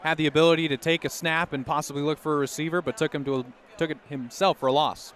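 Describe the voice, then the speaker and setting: A man's voice: a little high, fairly even intonation, fast talker, fairly bright tone. A man giving live football analysis as color commentator in a conversational, explanatory way. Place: outdoor stadium broadcast position. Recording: faint outdoor stadium noise.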